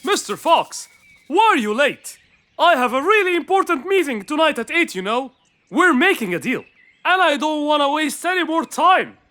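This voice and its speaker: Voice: high voice, sing-song, normal speed, pushed and bright, exaggerated voice. A performer voicing an impatient, fussy male businessman horse in a children's cartoon, with an insistent manner. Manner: insistent, pressing. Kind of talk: children's cartoon character acting.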